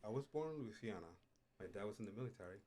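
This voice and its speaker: A middle-aged man from Puerto Rico, a culinary instructor, telling his life story in a relaxed way, with frequent pauses and "uh"s, in a studio interview. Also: on the low side. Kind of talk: casual interview. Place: radio studio.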